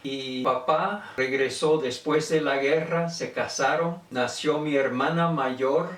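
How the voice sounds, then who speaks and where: A man's voice: mid-range voice, fairly even intonation, slow pace, fairly bright tone. Older man in an echoey room. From Venezuela. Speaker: speaker telling his family language history. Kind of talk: informal autobiographical talk. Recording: echoey room, distant microphone.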